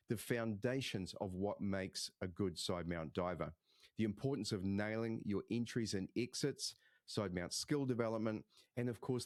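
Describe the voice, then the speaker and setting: A man hosting a diving podcast, reading a scripted introduction and then chatting with a guest in a measured, friendly way. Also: lowish voice, a little mellow, no room sound, clean studio sound. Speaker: podcast host.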